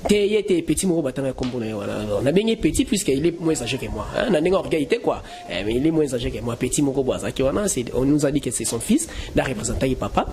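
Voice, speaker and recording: A man's voice: medium pitch, animated, fast talker, few pauses, a crowd in the background. A man from Kinshasa giving emphatic answers in an interview, often firing indignant questions. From Kinshasa, Congo. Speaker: guest. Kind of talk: interview in mixed French and Lingala.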